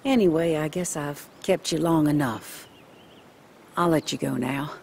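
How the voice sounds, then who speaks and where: A woman playing a gentle, caring character in video game drama, soft-spoken and considerate. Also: low voice, a little slow, gravelly, a little hiss or hum. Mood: subdued, caring.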